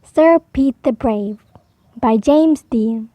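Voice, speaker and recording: A woman reading a children's picture book aloud slowly and clearly, with expressive delivery for beginner readers. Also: a little high, no room sound. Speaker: storybook reader. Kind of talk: slow children's read-aloud.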